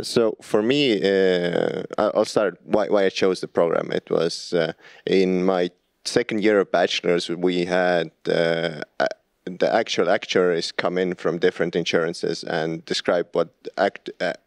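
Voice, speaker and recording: A young man talks about his studies in a very low, deep voice. He hesitates and restarts often. The sound is dry and close. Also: steady tone, a little slow, creaky, no echo. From Estonia. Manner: hesitant, many restarts and fillers. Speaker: master's student guest. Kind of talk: casual interview answers.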